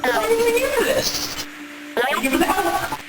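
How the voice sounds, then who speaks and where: A woman voicing a busy, excitable nonhuman caretaker with formal, precise, dramatic wording in a game dub. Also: extremely high pitch, measured pace, full character voice. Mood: grateful, concerned. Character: busy, fussy, excitable nonhuman caretaker entity of a memory space. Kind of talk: game fan dub character acting. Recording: echoey, distant, loud music behind.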